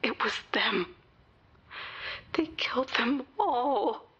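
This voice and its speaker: An actress playing a frightened woman survivor, speaking slowly in a hushed, shaken way in a fan audio drama. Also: on the high side, lively intonation, slightly rough, exaggerated voice. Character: frightened young woman survivor, recounting a crash. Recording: dull lo-fi sound.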